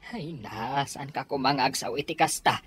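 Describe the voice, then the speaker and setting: A woman's voice: very deep voice, normal speed, fairly bright tone, breathy edge, heavily acted. A woman acting a practical older spouse who chides and reassures in a radio drama. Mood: chiding, reassuring.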